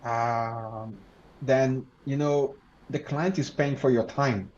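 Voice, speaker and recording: A man whose first language is Hebrew, a law researcher and lecturer answering interview questions in a measured, halting way with frequent restarts. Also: medium pitch, fairly even intonation, darkish tone, muffled recording. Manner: measured, halting, with many restarts and "you know".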